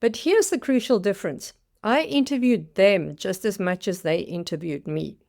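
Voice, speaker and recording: A woman's voice: mid-range voice, animated, moderate pace, creaky, darkish tone. South African woman, 60s, career coach delivering a dramatic scripted personal story. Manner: dramatic storytelling with acted-out quotes.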